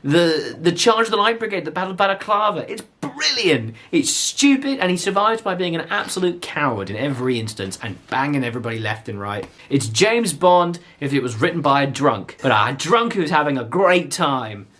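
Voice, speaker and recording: A man hosting a book vlog in a casual, animated, opinionated and exclamatory way. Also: fairly high pitch, normal speed, few pauses.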